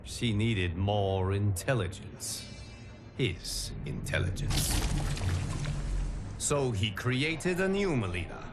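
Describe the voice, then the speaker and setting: A grave male guardian of timelines narrating a game cutscene over prominent music. His voice sits fairly low and moves very slowly. He speaks formally, with deliberate dramatic pauses, as if addressing his champions. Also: animated. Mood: grave, ominous.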